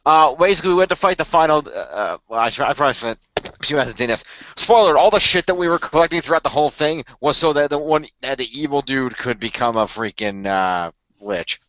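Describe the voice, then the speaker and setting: Fast-talking man in a dull dry call. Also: mid-range voice, expressive, a bit bright, dry recording. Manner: rambling, self-correcting, quick talker. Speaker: radio play cast member. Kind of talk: casual group discussion.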